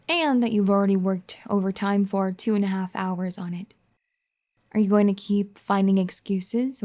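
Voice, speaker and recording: A woman voicing a firm but caring young wife who scolds and then gently pleads in an audio roleplay. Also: mid-range voice, close to an everyday voice. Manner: bossy teasing scolding that turns gentle and pleading. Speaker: ASMR roleplay performer. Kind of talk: audio roleplay addressed to the listener.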